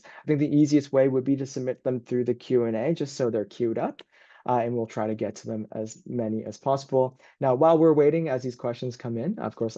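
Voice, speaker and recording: A man's voice: medium pitch, warm tone, dry recording, highs cut off. A man working as a university admissions advisor, hosting and presenting an informal online information session.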